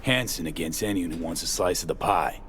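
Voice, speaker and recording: Low, terse male mercenary. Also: brisk, a bit bright, a little hiss or hum. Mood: matter-of-fact. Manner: terse, blunt. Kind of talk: video game cutscene acting.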